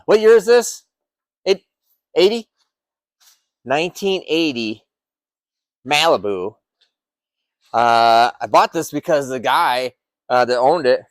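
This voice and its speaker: A man's voice: mid-range voice, animated, slow pace, pauses often, no echo. A man in his fifties, an engine builder in his workshop, walking through a project car in a rambling, enthusiastic way with lots of fillers and restarts. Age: 50s. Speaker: engine builder and shop owner. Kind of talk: casual walkaround of a project car.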